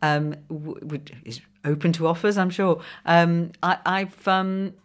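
A woman's voice: lowish voice, fairly even intonation, unhurried. A middle-aged Welsh woman, whose first language is Welsh, talking as a podcast guest, hesitant and full of ums and restarts. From Wales. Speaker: guest, comedy writer and former commissioning editor. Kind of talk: casual podcast conversation.

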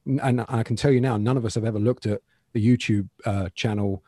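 A man in his forties, a guitarist being interviewed, talking in a relaxed, candid and rambling way. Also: lowish voice, a little fast, breathy edge, dry recording, studio quality. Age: 40s. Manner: relaxed, candid, loose and rambling with frequent "you know what I mean". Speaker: guest guitarist. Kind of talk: casual interview chat.

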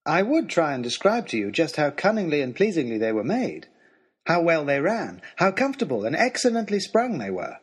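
A man playing an earnest, lovestruck gentleman adventurer who tells his journey in formal, old-fashioned, expressive storytelling. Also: fairly high pitch, brisk, breathy edge, full character voice. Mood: enthusiastic. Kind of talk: dramatised first-person storytelling, as a letter to a lady.

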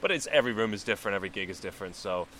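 A man with a mixed Central European and American accent, a comedian chatting fast and animated, laughing often. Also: lowish voice, a little hiss or hum. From Slovenia and Austria. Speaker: stand-up comedian, podcast guest. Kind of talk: casual chat. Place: outdoors in a park cemetery.

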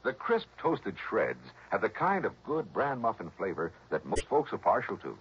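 A man reading a smooth, persuasive commercial as a radio announcer. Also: wildly swooping pitch, moderate pace, slight background noise. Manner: smooth, persuasive sales pitch. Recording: dull old radio broadcast with music.

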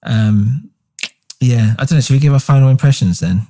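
A man's voice: mid-range voice, moderate pace, warm tone, no room sound. A man with a nasal voice, co-hosting a podcast and talking through an album review in a rambling, hesitant way with many fillers. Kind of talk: casual review chat.

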